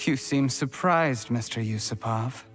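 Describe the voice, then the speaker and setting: An actor playing a resentful, calculating young usurper who speaks with menacing politeness in a video game drama. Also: male voice, mid-range voice, a little slow, fairly bright tone, lightly acted. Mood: bitter, defiant. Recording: music behind the voice. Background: background music.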